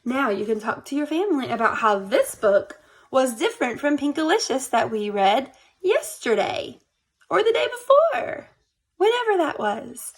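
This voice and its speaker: A woman reading a children's picture book aloud in an expressive, animated way, speaking directly to young listeners. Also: sits high, moderate pace.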